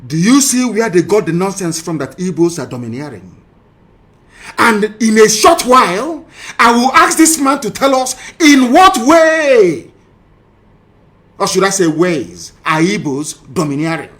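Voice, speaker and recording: A man's voice: sits high, sing-song, a little slow, a bit bright. A man with a Nigerian accent delivering an impassioned political monologue, emphatic and scornful, piling up rhetorical questions and repeating phrases. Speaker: political broadcaster. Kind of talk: impassioned political monologue commenting on archive audio.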